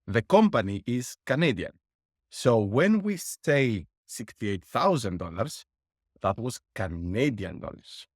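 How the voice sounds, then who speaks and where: A man's voice: mid-range voice, sing-song, slow pace, dry recording. Guest, middle-aged man, dry close mic, breaks down costs step by step. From Greece. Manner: explains with worked numbers and lessons, laughs wryly. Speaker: guest, board game publisher. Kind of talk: interview answers.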